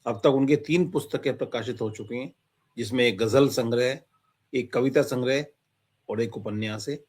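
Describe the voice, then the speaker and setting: A man's voice: medium pitch, moderate pace, darkish tone, husky. Male literary show host. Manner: interjects frequent praise. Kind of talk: formal introduction and warm praise.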